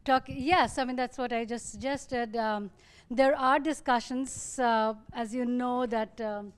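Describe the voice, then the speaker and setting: A woman with an Indian accent, a researcher speaking thoughtfully on a panel and often pausing to find her words. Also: fairly high pitch, fairly even intonation, clear, resonant tone. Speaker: muscle disease researcher.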